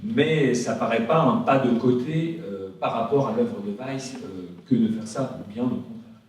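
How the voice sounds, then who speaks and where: A man speaking as an invited guest on a panel, measured and with some fillers. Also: medium pitch, darkish tone. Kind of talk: panel discussion answer. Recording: far-off voice in an echoey hall.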